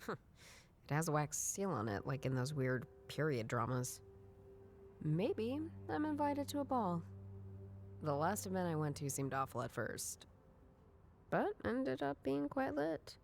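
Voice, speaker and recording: Dark-voiced, even-toned young woman detective. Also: fairly low pitch, a little slow, creaky, pauses often, a little hiss or hum, heavily acted. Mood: curious. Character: wry young woman detective chasing grandpa's riddles. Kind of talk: scripted game voice-over, inner monologue.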